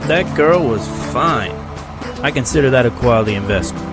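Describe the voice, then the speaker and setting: A cocky, wisecracking mercenary played by a man in an audio drama. His voice is medium in pitch, and music runs clearly behind it. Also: expressive, moderate pace, natural voice. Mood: teasing, smug. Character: cocky, joking male mercenary fighter.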